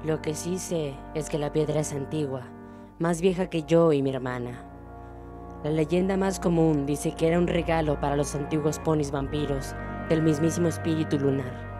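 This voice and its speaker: Woman playing ancient cartoon alicorn princess, very low pitch, measured and solemn, Latin American Spanish, music behind. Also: steady tone, natural voice. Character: ancient regal alicorn mare, a patient moon princess. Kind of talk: fan dub of a comic. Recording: music under the voice.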